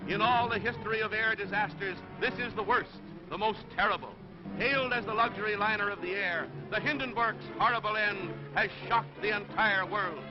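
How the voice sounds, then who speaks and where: High-pitched 1930s newsreel man. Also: moderate pace. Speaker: newsreel narrator. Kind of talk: 1930s newsreel narration, scripted. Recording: muffled vintage 1930s newsreel soundtrack. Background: music.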